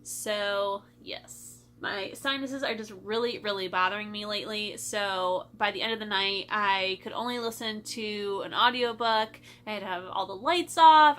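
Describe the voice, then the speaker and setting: A woman's voice: mid-range voice, a little slow, fairly bright tone. A young woman from Michigan chatting casually in a book vlog, a little stuffy from her sinuses, with lots of "like" and "I feel like". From Michigan, USA. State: stuffy, congested. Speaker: book vlogger.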